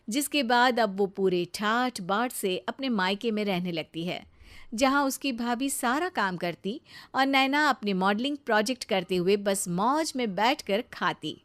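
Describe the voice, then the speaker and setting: A woman narrating a scripted animated family story as its storyteller. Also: fairly high pitch, measured pace.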